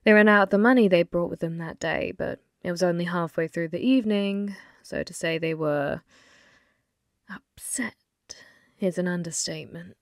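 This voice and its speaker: A woman playing a flirty, possessive, taunting female demon in an ASMR roleplay, slow and intimate, often slipping toward a whisper. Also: fairly low pitch, no room sound, clean studio sound, lightly acted. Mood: taunting, confiding.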